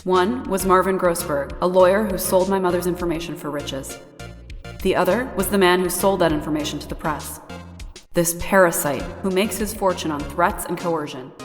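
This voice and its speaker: A woman's voice: fairly low pitch, fairly even intonation, normal speed, long reverb tail, faint music behind, lightly acted. A woman playing a solemn, resolute spirit medium in a voiced game drama, reciting her written testament. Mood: solemn resolve.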